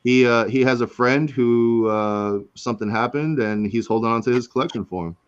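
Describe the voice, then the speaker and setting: A man's voice: medium pitch, moderate pace, dull audio, a little hiss or hum. A man in his forties hosting a casual live chat, talking in a loose, rambling way and often tagging his sentences with "right?" and "man". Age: 40s. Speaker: host.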